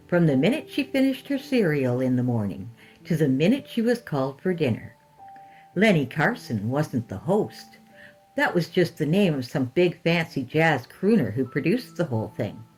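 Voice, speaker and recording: A woman's voice: sits low, a little mellow. A woman hosting and narrating fiction in a scripted storytelling style. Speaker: podcast host and story narrator.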